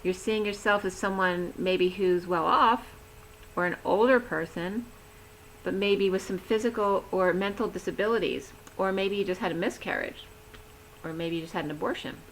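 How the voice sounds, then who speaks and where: A woman giving a rambling, chatty tarot reading, talking with a voice that is going hoarse. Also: mid-range voice, moderate pace, a little hiss or hum, low-quality recording. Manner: chatty, rambling, laughs easily. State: losing her voice. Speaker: tarot reader. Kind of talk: casual tarot reading to camera.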